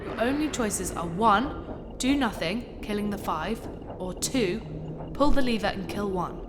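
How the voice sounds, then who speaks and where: A woman playing a patient but easily exasperated tutor in a sci-fi audio drama, deliberate and expressive. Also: mid-range voice, lightly acted. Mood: patient, explaining. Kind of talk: science fiction audio drama acting. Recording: reverberant hall, background noise.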